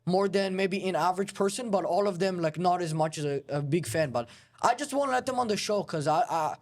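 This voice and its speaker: Deadpan young male comedy host. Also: sits high, fairly quick. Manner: deadpan, provocative.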